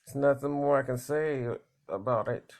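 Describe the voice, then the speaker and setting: A man talking in a casual, rambling monologue, halting and repeating words, blunt and plain-spoken. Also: medium pitch, a little mellow. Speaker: vlogger.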